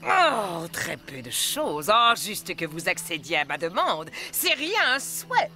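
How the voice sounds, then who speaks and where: A woman's voice: fairly low pitch, big pitch swings, measured pace, pushed and bright, exaggerated voice. A woman voicing a vindictive witch in a cartoon, theatrical, cajoling and menacing by turns. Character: vindictive old witch, falsely sweet, easily offended. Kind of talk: French cartoon dubbing. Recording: music behind the voice.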